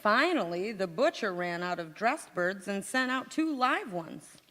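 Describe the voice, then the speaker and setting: A woman's voice: medium pitch, sing-song, unhurried, sharp tone. A woman playing a frazzled, hapless housewife in old-time radio sitcom style, with dry comebacks and flustered wailing. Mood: frazzled deadpan. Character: frazzled, hapless housewife overwhelmed by a cooking disaster. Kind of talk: golden-age radio sitcom acting on stage. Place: large indoor hall, live stage performance. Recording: echoey hall, community theatre stage.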